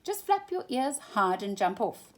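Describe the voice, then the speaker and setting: A woman's voice: fairly high pitch, very animated, measured pace, pitched above the performer's own voice. A woman voicing a clever, kind little male circus mouse who talks in an eager, encouraging way.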